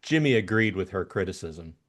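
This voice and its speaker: A man narrating a literary audiobook in an expressive storytelling style. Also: low-pitched, fairly quick.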